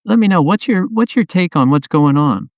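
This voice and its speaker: A man's voice: high-pitched, lively intonation, normal speed, no echo, lo-fi sound. A man playing a concerned, earnest product owner in a radio play, questioning and conciliatory. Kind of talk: amateur radio play dialogue.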